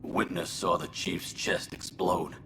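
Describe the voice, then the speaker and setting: An actor playing a weary, resigned colonist imprisoned for murder, speaking in a halting, subdued way in a video game. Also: male voice, low voice, gravelly, music in the background. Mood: remorseful.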